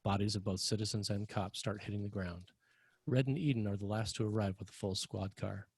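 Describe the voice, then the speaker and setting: A man narrating scene directions for a screenplay table read in a scripted style, with a husky voice. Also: lowish voice, fairly even intonation, fairly quick, a little mellow, no room sound. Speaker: narrator reading stage directions.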